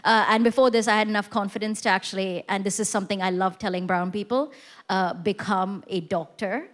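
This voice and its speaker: A woman's voice: medium pitch, steady tone, fairly quick. A woman performing a stand-up comedy set on a theatre stage, telling self-mocking jokes in a chatty, conversational way. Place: theatre stage before a live audience. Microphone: stage mic.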